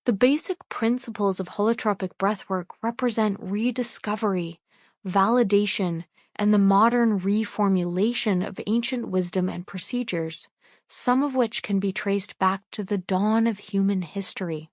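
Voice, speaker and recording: A woman reading a book excerpt aloud in a formal, measured audiobook style. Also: mid-range voice, no echo, studio quality. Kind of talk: scripted read-aloud, audiobook-like narration.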